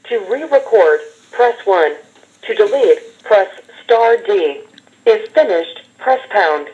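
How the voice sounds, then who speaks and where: A woman's voice: medium pitch, expressive, slow, darkish tone. An American woman giving scripted automated voicemail menu prompts in short phrases over a telephone. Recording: dull telephone system playback.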